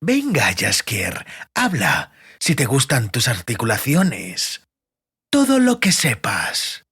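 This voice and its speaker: Man playing a slow, sneering villainous interrogator. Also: medium pitch, wildly swooping pitch, a bit bright, breathy edge, pitched below the performer's own voice, heavily acted. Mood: cold menace. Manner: deliberate, sneering, threatening. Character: sadistic male interrogator, mocking, coldly menacing villain.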